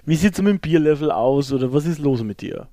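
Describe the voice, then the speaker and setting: A man's voice: fairly high pitch, animated, fairly quick, mellow, no room sound, clean studio sound. A middle-aged man co-hosting a podcast, chatting casually in a joking, playful way. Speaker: podcast co-host. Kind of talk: casual chat.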